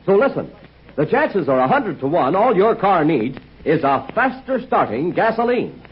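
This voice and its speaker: A man announcing a 1940s radio commercial in a chummy, persuasive sales pitch. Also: big pitch swings, moderate pace, slightly rough. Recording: dull old 1940s radio recording.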